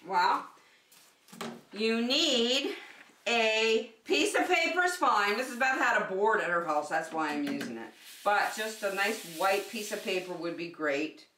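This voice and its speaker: Very animated woman teaching young children, echoey. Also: medium pitch, a little hiss or hum. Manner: animated, sing-song, encouraging, simple phrasing for small children. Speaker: preschool circle time teacher and host. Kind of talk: children's lesson with craft demonstration. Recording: echoey room, distant microphone.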